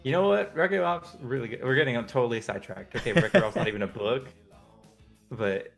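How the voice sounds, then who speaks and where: A man's voice: medium pitch, brisk, vocal fry, soft background music. A man co-hosting a casual show, bantering and rambling in informal slang. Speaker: show co-host. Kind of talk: casual banter about video game movies.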